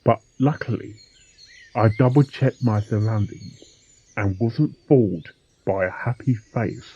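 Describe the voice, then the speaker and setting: A man playing a determined, sharp-witted pony detective in an amateur audio drama, reasoning with deliberate emphasis. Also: lowish voice, husky, dull audio. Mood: triumphant explaining.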